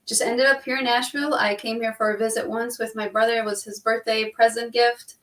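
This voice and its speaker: A woman's voice: on the high side, little pitch movement, a little fast, fairly bright tone. A young woman from Albania, a guest on a talk show, chatting in a friendly, easygoing way. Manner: friendly, chatty, laughs easily. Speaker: guest, real estate investor. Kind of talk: casual interview chat. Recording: distant, roomy remote call audio.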